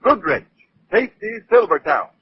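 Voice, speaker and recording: A man announcing in emphatic, theatrical 1930s radio style, reading scripted pitches and narration. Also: sing-song, normal speed, soft background music. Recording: muffled, hissy old radio recording.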